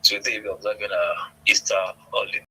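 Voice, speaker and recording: A man's voice: lowish voice, little pitch movement, brisk, sharp tone, breathy edge, low-quality recording. A Nigerian-accented man answering as a police spokesman in a radio interview, often hesitating and repeating his phrases. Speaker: police public relations officer, radio guest.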